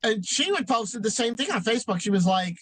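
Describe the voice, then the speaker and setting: Hesitant, high-voiced man. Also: animated, a bit bright. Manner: hesitant, halting, mild-mannered. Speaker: show co-host and producer, drag performer. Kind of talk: casual chat.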